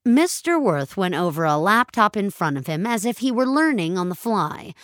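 A woman's voice: mid-range voice, big pitch swings, moderate pace, a bit bright, no echo. A woman reading scripted dramatic fiction as a narrator, recorded close on a studio mic.

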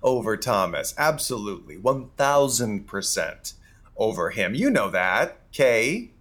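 An actor playing a flustered husband who stammers while defending himself. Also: male voice, lowish voice, very animated, a little slow, fairly bright tone, heavily acted.

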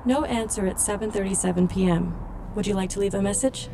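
A woman voicing a polite, unruffled AI car assistant in an audio drama, measured and formal. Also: mid-range voice, creaky, background noise, natural voice. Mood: impassive.

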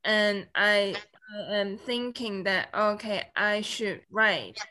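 A woman who grew up speaking Cantonese, talking in halting, broken phrases as she searches for words. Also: medium pitch, pushed and bright. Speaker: interview guest. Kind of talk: casual conversation.

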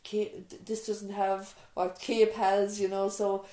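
A woman's voice: mid-range voice, flat delivery, takes it slowly, roomy sound. A middle-aged woman, a nurse and mother, telling her story in an interview with frequent restarts and asides. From Ireland. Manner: warm storytelling, frequent restarts and "you know". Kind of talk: reflective interview answers. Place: island nurse's surgery, small room.

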